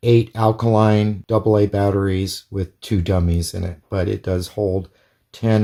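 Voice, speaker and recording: A man's voice: fairly low pitch, gravelly, warm tone. A man giving a casual hands-on product demonstration in a plain, methodical, step-by-step way. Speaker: product reviewer.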